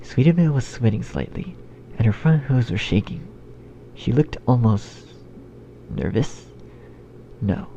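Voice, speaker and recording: A man's voice: medium pitch, big pitch swings, a little slow, gravelly, pauses often, warm tone, slight background noise. A man reading scripted story narration as the narrator. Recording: dull, muffled sound under music. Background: music.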